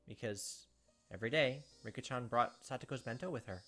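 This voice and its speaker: A man's voice: mid-range voice, brisk, a bit bright, faint music behind. A man hosting a let's play, chatting casually and reading the game's story text aloud.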